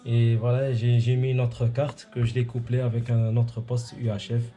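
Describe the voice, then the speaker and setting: A man casually explaining technical gear, haltingly and groping for words. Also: mid-range voice, flat delivery, dark tone. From Morocco. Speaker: amateur radio hobbyist explaining his setup. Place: indoors at his home radio station.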